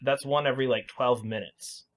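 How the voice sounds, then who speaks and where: A man's voice: mid-range voice, steady tone, moderate pace. A man co-hosting a podcast, arguing his opinions in casual, conversational speech. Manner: opinionated, argumentative. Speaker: podcast co-host.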